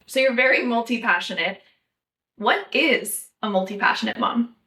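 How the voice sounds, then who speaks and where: Measured young American woman, slightly distant. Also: fairly high pitch, pushed and bright. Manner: measured, thoughtful, light laughs. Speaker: podcast host. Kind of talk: casual podcast chat.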